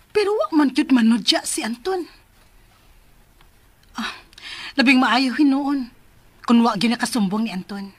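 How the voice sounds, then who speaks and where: Sneaky villain lady, cebuano radio drama, breathy hushed muttering, dry sound. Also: fairly high pitch, no room sound. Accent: Cebuano Filipino. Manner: hushed, brooding monologue. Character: scheming woman secretly plotting to steal a child. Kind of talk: Filipino radio drama acting.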